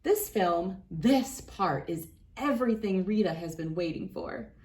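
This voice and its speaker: Expressive woman reading a picture book aloud. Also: fairly low pitch. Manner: dramatic, deliberate phrasing with emphasis. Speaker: read-aloud host.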